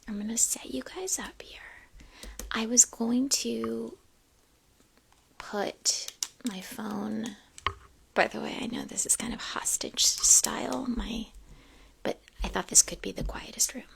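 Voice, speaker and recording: A woman whispering softly through a livestream in a quiet home room, chatty and a little self-conscious, speaking into a cheap microphone while holding her phone. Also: fairly high pitch, takes it slowly, vocal fry, long pauses. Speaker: livestream host. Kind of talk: whispered ASMR-style livestream chat. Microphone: cheap USB microphone, phone held in hand.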